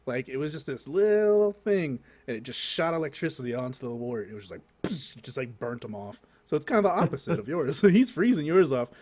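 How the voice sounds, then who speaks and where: A man with a slightly high-pitched voice chats casually as a podcast co-host. He is jokey and loose, swearing freely and adding mouth sound effects. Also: big pitch swings, fairly quick, few pauses, darkish tone. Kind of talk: casual joking chat.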